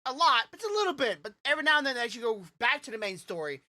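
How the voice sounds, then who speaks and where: A woman's voice: a little high, very animated, racing, bright, forceful tone. A woman co-hosting a podcast in casual chat, rambling with restarts and fillers. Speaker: podcast co-host.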